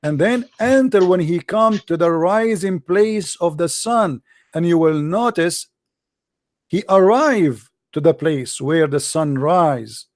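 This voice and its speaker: A man whose first language is Arabic argues insistently with a caller, his voice sitting fairly high. He cuts in with strings of "no" and slows right down when he reads passages aloud. The sound is dry and close, with no room around it. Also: expressive, no room sound. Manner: insistent, argumentative, repeats "no, no"; slows down to read scripture aloud. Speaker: host. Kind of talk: live call-in debate.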